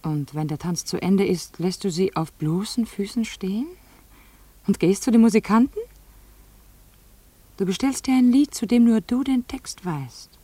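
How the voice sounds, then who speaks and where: An actress playing a wealthy, weary woman in a German radio play, speaking in hushed, wistful questions that slip toward whispers. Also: mid-range voice, a little slow, no room sound, lightly acted. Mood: wistful yearning. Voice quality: hushed, breathy, slipping into whispers. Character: wealthy, weary, yearning divorced woman, Mrs. Brown.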